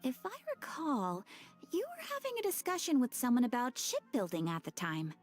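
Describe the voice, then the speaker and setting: A woman playing a polite, curious young adventurer in a video game, courteous and expressive. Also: sits high, moderate pace, full character voice. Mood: friendly, curious. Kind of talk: scripted game character dialogue. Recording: faint music behind.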